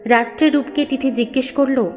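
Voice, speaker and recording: A woman's voice: sits high, fairly even intonation, normal speed, in a large hall. A woman storyteller giving a scripted, emotional narration of a family story. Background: music.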